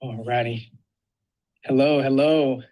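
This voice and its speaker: A young man moderating an online panel discussion, introducing each speaker with frequent pauses and filler sounds. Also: mid-range voice, fairly even intonation, mellow. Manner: halting, many filler uhs.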